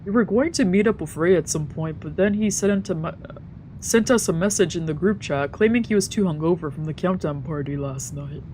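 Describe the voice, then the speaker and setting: A woman's voice: lowish voice, a little fast, mellow, a little hiss or hum, poor audio. A woman, a streamer narrating a visual novel in a let's play. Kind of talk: let's play, narrating and voicing translated lines.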